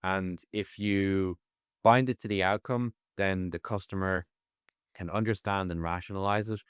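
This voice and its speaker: A man with a very low, clean and resonant voice answers questions as an executive guest. His delivery is conversational, with stammered starts and repeated words. The recording is very dry, with no room sound. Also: steady tone, a little slow, clear, resonant tone, dry recording.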